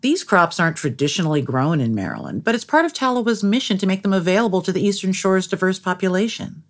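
A woman's voice: medium pitch, big pitch swings, fast. A woman narrating a scripted documentary piece as its interviewer.

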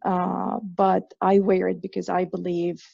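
A woman from Egypt in her thirties talks haltingly, full of fillers and restarts. Her voice is heavily gravelly and mellow. The recording is dry and dull. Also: fairly low pitch, completely flat delivery, no echo. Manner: hesitant, many fillers and restarts. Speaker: guest. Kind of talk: conversational interview.

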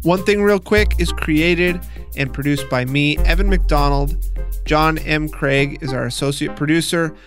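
Male podcast host and producer, a bit higher in pitch, conversational delivery, light music bed. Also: unhurried, fairly bright tone. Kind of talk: conversational interview and scripted credits narration.

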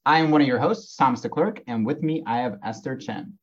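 A man's voice: medium pitch, fairly quick. Young man, upbeat student podcast co-host, slightly dull lo-fi sound. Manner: upbeat, enthusiastic announcements. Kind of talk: casual student podcast hosting.

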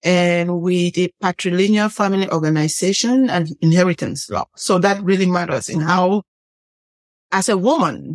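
A woman's voice: on the low side, no room sound. A middle-aged woman with a Cameroonian accent, a professor telling her story as an interview guest in an earnest, reflective way, often rephrasing as she goes. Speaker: guest, biblical studies professor. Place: podcast studio table.

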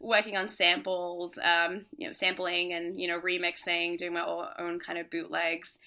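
Low, gravelly woman with a bright edge. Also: moderate pace. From Australia and Singapore. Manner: relaxed, laughs often, many fillers. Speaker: DJ and producer guest. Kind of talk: casual interview chat.

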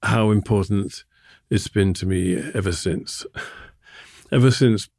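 Older man speaking in slow, halting reflection. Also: on the low side, creaky, no room sound, studio quality. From England. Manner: thoughtful, halting, laughs softly between thoughts. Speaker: artist being interviewed. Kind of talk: reflective conversational interview.

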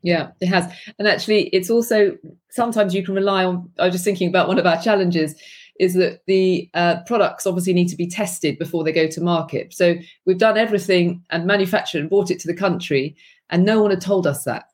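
Low, breathy-voiced woman co-founder. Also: brisk, a little mellow. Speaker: small business co-founder. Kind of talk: interview answer.

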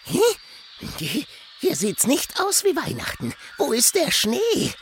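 A small, curious creature from a children's audio play. The voice is high and husky, drawls slowly and swings wildly in pitch, sounding playful and naive. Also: female voice, wildly swooping pitch, slight background noise, full character voice.

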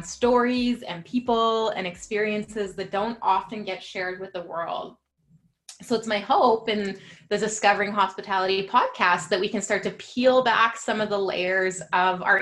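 A young woman hosts a podcast in a loose, friendly way, laughing often and filling pauses with ums and uhs. Her pitch sits right in the middle for a woman. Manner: warm, laughs often, many fillers. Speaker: podcast host. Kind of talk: casual podcast interview.

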